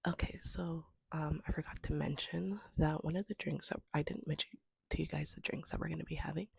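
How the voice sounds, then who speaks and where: Hoarse, gravelly woman vlogging at home. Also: sits low, steady tone, fairly quick, no room sound. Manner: soft, half-whispered delivery. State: hoarse from a cold, sniffling. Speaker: homestead vlogger. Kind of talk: casual vlog chat. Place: at home, indoors.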